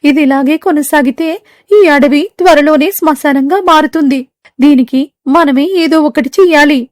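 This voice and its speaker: A woman plays an old stork elder from an animated fable, grave and measured, with a high voice. The sound is close and dry. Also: no echo, natural voice.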